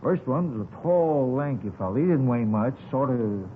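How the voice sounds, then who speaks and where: A man's voice: medium pitch, expressive, moderate pace, narrow, tinny audio, natural voice. A man playing a folksy, talkative eyewitness in a 1950s radio drama, rambling along in colloquial phrases. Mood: vague, unhurried. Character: folksy, talkative male eyewitness to a street shooting. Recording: scratchy, thin 1950s radio recording.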